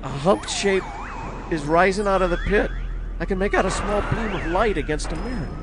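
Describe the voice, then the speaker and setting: A man's voice: sits high, noisy background, close to an everyday voice. An actor playing a panicked field reporter in a 1930s radio drama, breathless and stammering as he describes an alien attack. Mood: tense, hushed. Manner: breathless, halting, stammering delivery.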